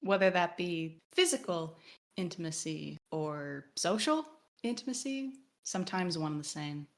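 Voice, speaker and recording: A woman co-hosting an analytical conversation, phrasing her points in a deliberate, measured, essay-like way. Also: on the low side. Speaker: podcast co-host.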